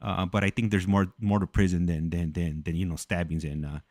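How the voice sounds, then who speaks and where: A man with a very low voice, recorded dry with little room sound. He talks casually as the host of a live show. His delivery is hesitant, with phrases often started over. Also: little pitch movement, a little mellow, dry recording. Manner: hesitant, halting, repeats words. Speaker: host of a live prison call podcast. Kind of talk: casual conversational talk.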